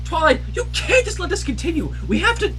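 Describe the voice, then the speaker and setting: Man putting on a high, indignant young dragon. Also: sing-song, a little fast, fairly bright tone, faint music behind, exaggerated voice. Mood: outraged. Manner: whiny, outraged outbursts. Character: young male dragon, hot-tempered and indignant. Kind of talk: amateur fan fiction reading.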